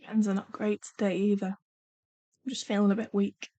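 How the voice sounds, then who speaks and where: A woman's voice: mid-range voice, little pitch movement, moderate pace, dark tone, no room sound. A middle-aged woman chats casually on a live stream while swatching pencils, her nose bunged up and her throat sore, apologetic and trailing off as she works. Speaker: art channel host. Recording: dull lo-fi home live-stream audio.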